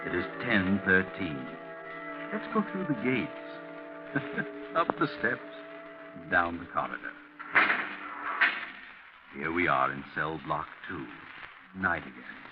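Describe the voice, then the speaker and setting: A man's voice: low-pitched, lively intonation, takes it slowly, pauses often, over loud music. A man narrating a 1940s radio suspense drama in a brooding, dramatic manner, addressing the listener directly. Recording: noisy, muffled 1940s radio recording.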